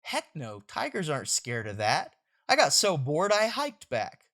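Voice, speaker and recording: A laid-back, sarcastic tiger fellow, performed by a woman reading aloud. The manner is deadpan and unimpressed, pitched a little under the storyteller's usual talk in a comic strip. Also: a little slow, full character voice. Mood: casual, unbothered.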